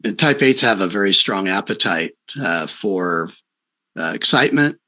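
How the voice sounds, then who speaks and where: A man's voice: deep voice, animated, normal speed, sharp tone. A man hosting a conversational interview, explaining in short pieces and offering affirming remarks. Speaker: podcast host. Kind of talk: conversational coaching commentary on personality types.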